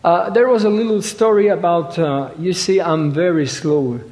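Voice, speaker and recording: A male preacher giving a sermon, halting and full of fillers, with a habit of saying "you see". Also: sits high, darkish tone. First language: French. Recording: long hall reverb.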